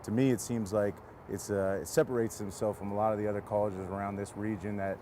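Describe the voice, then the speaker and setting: A man's voice: fairly low pitch, level tone, fast talker, background noise. An American man from San Diego, a football coach giving casual interview answers outdoors beside a field. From San Diego, California.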